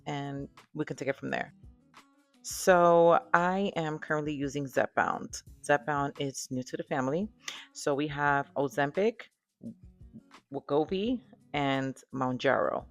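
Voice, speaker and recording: A woman's voice: low-pitched, no room sound. A woman with a Dominican accent, hosting a podcast and chatting candidly about her own experience, with lots of fillers and asides. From Dominican background. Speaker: podcast host. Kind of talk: casual personal talk to camera.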